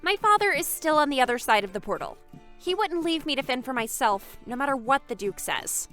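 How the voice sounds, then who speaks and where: Woman acting a high, lilting, proper young noblewoman. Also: big pitch swings, a little fast, pushed and bright, soft background music, exaggerated voice. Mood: earnest. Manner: courteous, formal, articulate. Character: polite, proper young noblewoman of a fantasy court. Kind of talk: fandub fantasy drama acting.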